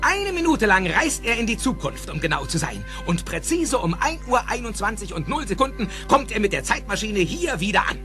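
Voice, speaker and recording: An actor playing an excitable, eccentric older scientist in a German audio drama, theatrical and emphatic, with precise technical phrasing. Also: male voice, sits high, fairly quick, few pauses, over music. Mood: proud, explaining.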